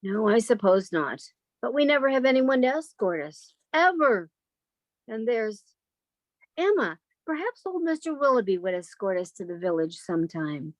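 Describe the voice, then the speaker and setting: A woman's voice: fairly high pitch, big pitch swings, moderate pace, no echo. A woman playing a timid, yielding younger spinster sister in a radio drama, hesitant and apologetic. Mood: timid pleading. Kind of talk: old time radio drama acting.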